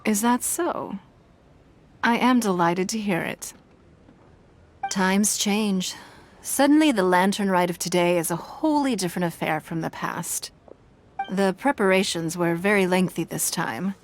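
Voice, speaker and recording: A woman's voice: mid-range voice, a little slow, a little hiss or hum, natural voice. An actress playing a poised, elegant female leader in a video game, formal and composed.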